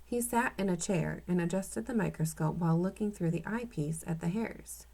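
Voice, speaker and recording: A woman's voice: lowish voice, moderate pace, gravelly, dark tone, breathy edge. A woman reading a children's storybook aloud as the narrator.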